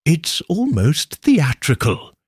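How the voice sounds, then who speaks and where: A man voicing a shrewd elderly village spinster, speaking in a gentle, probing, knowing way. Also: fairly high pitch, huge pitch swings, slow pace, husky, dry recording, clean studio sound, voice pushed up, full character voice. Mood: shrewd musing.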